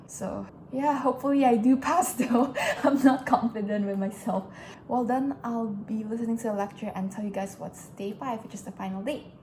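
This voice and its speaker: A woman's voice: mid-range voice, dark tone, slight background noise. A young woman vlogging in a casual, chatty way, explaining things with lots of "like" fillers. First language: English. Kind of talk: casual vlog diary.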